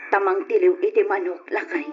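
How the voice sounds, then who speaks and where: A woman's voice: medium pitch, steady tone, brisk, over music, lightly acted. An actress playing a caring, fretful older rural wife and mother, fussing and coaxing in an Ilocano radio drama. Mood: concerned, caring. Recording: lo-fi old radio, background hiss.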